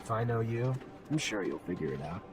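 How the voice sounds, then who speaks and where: A man's voice: fairly low pitch, sing-song, a little fast, over music. A man playing a determined, idealistic warrior leader in a game cutscene, speaking earnestly and plainly. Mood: practical. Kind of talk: video game cutscene acting.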